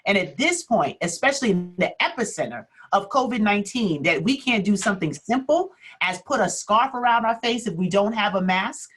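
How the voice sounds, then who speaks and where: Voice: mid-range voice, expressive, a little fast, few pauses, a bit bright, slightly rough. Indignant co-host making points. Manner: indignant, ticks off numbered points. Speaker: talk show co-host. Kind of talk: talk show commentary.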